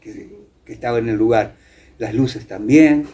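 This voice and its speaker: An older man with an Argentine accent from Entre Ríos, reminiscing in a conversational interview, haltingly searching for words and repeating himself. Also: medium pitch, dark tone. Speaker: interviewee, former radio theatre actor.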